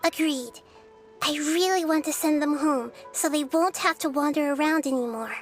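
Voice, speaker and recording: Game role: woman as wise child goddess, high-pitched, gentle, caring, measured delivery, music underneath. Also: lightly acted. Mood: thoughtful. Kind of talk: video game voice acting.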